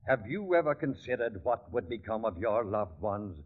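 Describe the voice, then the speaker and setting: A man's voice: on the low side, very animated, moderate pace. An actor playing a persistent, evasive small-town insurance salesman with folksy sales patter, in 1940s radio comedy style. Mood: salesmanlike, evasive. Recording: muffled old 1940s radio broadcast.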